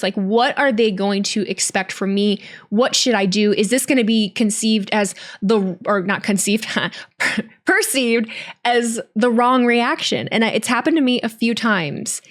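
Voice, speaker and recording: A young woman hosting her own podcast, talking candidly and rambling, often correcting herself. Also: medium pitch. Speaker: wrestling streamer and podcast host. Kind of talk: casual solo podcast talk.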